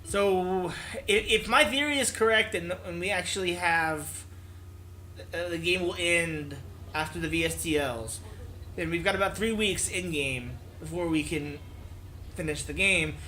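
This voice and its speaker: A man's voice: high-pitched, measured pace, pushed and bright, a little hiss or hum, poor audio. A man hosting a let's play, reading a visual novel aloud and adding casual commentary. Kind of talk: game narration and casual commentary. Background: background music.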